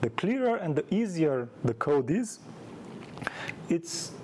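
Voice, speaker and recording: Man giving an informal tech talk, rumbly noise. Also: very animated, slow pace, darkish tone. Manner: conversational, self-deprecating, illustrates points with anecdotes. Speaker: conference speaker, software developer. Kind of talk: informal technical meetup presentation. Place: live meetup talk before an audience.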